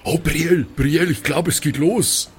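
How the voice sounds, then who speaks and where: A man's voice: on the high side, moderate pace, husky. A man playing a jolly, simple-hearted spectator, chatty and folksy, in an improvised audio play. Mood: excited.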